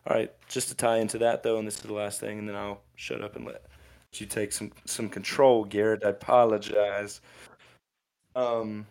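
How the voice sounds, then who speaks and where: A young man in his twenties rants through motivational advice on a laid-back podcast. His voice sits low and carries a gravelly rasp. He rambles and restarts his sentences as he talks. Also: flat delivery, normal speed, darkish tone. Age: 20s. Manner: rambling, ranting, with frequent restarts. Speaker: podcast co-host. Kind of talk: casual motivational podcast chat.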